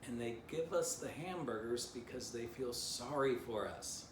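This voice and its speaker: A man's voice: mid-range voice, a little slow, echoey room, background noise, pitched above the performer's own voice, natural voice. A man reading a storybook aloud as a naive, eager, incredulous little female dachshund. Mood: earnest.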